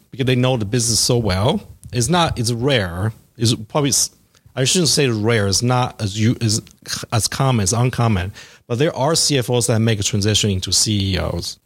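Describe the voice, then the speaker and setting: Man, forties, mid-pitched, casual interviewee, halting delivery with fillers and restarts. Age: 40s.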